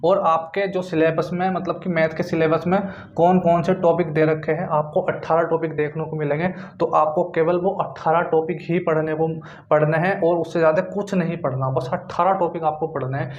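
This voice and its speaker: Young man, higher-pitched voice, instructive and repetitive, informal lesson, roomy echo. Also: little pitch movement, brisk, few pauses, slight background noise. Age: young adult. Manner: repetitive, instructive, addressing viewers directly. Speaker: exam-prep YouTuber. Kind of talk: informal exam guidance lesson. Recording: echoey room, dull lo-fi sound.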